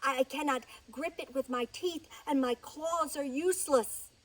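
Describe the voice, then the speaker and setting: A woman's voice: sits high, normal speed, far from the mic, voice pushed up, close to an everyday voice. A woman voicing a big, proud male lion in an animal fable, imperious and emphatic. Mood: distressed.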